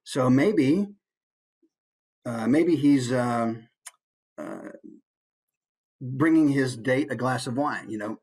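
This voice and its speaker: A man's voice: medium pitch, takes it slowly, pauses often, a little mellow. An American man, a voice actor, giving an informal instructional talk and musing aloud through examples.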